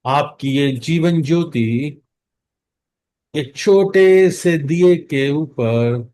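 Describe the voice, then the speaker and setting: Very slow-speaking Indian man guiding meditation. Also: a little high. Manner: calm, instructive, long pauses between short phrases. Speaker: guided meditation instructor. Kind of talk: guided meditation, mixing Hindi and English.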